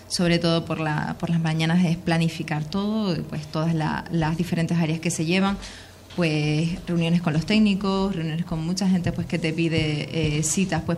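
Woman in her thirties, Canarian accent, lowish voice, hesitant interview answers, background noise. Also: a little mellow. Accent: Canarian Spanish. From Lanzarote, Canary Islands, Spain. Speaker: local politician, youth councillor being interviewed. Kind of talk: informal radio interview answers.

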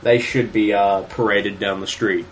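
A man co-hosting a crude comedy podcast, reading out news stories and laughing loudly, often jumping into silly high-pitched voices. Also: steady tone, a little slow, a little hiss or hum, poor audio.

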